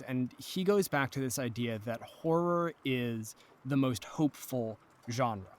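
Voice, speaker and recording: A man speaking as a podcast guest, discussing literature in an earnest, eloquent and deliberate manner. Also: a little high. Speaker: podcast guest, audio drama maker.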